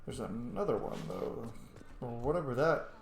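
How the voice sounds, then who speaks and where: A man's voice: medium pitch, expressive, moderate pace, creaky, roomy sound, a little hiss or hum. A man hosting a playthrough with casual, informal commentary, chatty asides and some mild swearing. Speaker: gaming host.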